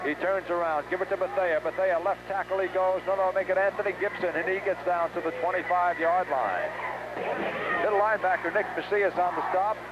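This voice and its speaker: Male sportscaster, high-pitched, excitable live play-by-play, muffled 1970s radio sound. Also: a little fast, background noise. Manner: excitable, urgent calls on big plays. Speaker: play-by-play football announcer. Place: outdoor stadium, game broadcast.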